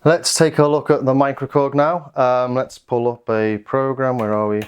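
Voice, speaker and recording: A man with a mid-pitched voice talks through a hands-on gear demo. He is informal and understated, thinking aloud as he works. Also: fairly even intonation, normal speed, clean tone. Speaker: synth reviewer and demonstrator. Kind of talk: casual product demonstration.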